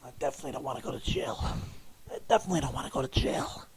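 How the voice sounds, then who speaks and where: A man's voice: medium pitch, big pitch swings, racing, creaky, breathy edge, heavily acted. A man putting on a gullible, anxious fellow who rambles hesitantly and eagerly cooperates in a prank phone call. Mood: fretful muttering. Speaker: prank caller. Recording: phone call recording with background noise.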